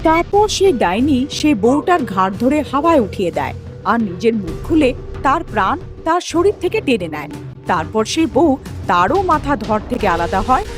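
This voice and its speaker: A woman telling a scripted ghost story as the narrator of a cartoon. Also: fairly high pitch.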